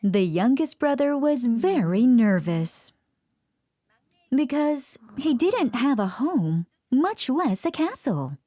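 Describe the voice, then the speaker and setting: A woman narrating a children's bedtime story in a gentle, expressive way. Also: sits high, slow, no room sound. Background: children's music.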